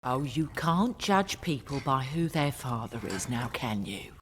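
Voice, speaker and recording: A motherly enchanted servant woman, older and kindly, in a film. Her voice is low and lilting, chiding with a gentle touch. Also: sing-song, measured pace, slight background noise, heavily acted. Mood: gentle reproach. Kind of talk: film dialogue.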